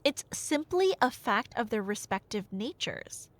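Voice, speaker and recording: A woman's voice: a little high, big pitch swings, unhurried, dry recording. A woman playing an enthusiastic nerdy girlfriend in an audio roleplay, telling stories in a casual, emphatic, colloquial ramble. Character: enthusiastic nerdy girlfriend explaining Greek myths.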